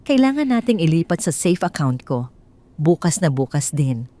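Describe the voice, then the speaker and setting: Woman plays audio drama wife: low, mellow, calculating, persuasive and reassuring with her husband. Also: sing-song, moderate pace. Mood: firm.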